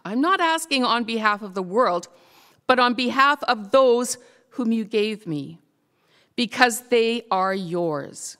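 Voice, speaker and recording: A woman's voice: on the high side, animated, fairly bright tone. An older woman reading scripture formally, phrase by phrase, at a church lectern. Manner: measured, phrase-by-phrase delivery. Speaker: scripture reader. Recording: echoey church hall, long reverb.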